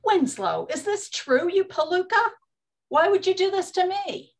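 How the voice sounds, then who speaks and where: A woman's voice: very high voice, sing-song, a little slow, exaggerated voice. An actress playing a bossy, slangy woman bootlegger in a 1920s comedy radio play. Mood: indignant.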